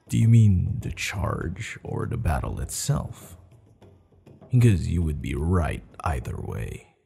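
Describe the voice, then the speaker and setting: Man acting gravelly, slow-spoken proud barbarian warchief. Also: deep voice, warm tone, full character voice. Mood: stern, defiant. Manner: slow, measured, stern yet amused, formal phrasing. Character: proud, teasing, commanding male barbarian warchief of a clan. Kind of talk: ASMR roleplay.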